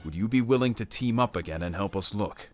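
A man's voice: lowish voice, a little fast, soft background music, lightly acted. A man voicing a serious, composed chameleon ninja in a cartoon fan dub, formal and matter-of-fact. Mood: businesslike.

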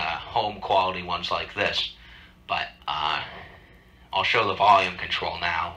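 A man's voice: low-pitched, sharp tone, slightly rough, rough recording. A man giving an informal, matter-of-fact demonstration of equipment as a video host, recorded indoors at home.

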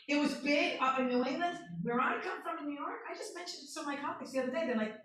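A woman's voice: high voice, fast, fairly bright tone. An American woman co-presenting a talk in a chatty storytelling way, putting questions to her listeners. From northeastern USA. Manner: chatty, engages the audience with questions. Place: echoey indoor presentation room. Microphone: far room camera or microphone. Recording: very echoey room, distant mic.

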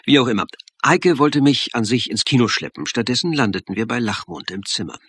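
Casting: insistent, shrewd lecturer-narrator of a radio crime play; forceful bright voice, mid pitch, articulate delivery. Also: male voice, expressive, fairly quick, breathy edge, dry recording. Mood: reflective narration. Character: male college lecturer turned amateur sleuth, shrewd, insistent. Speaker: narrator and lead character. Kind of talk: German radio crime play, first-person narration and dialogue.

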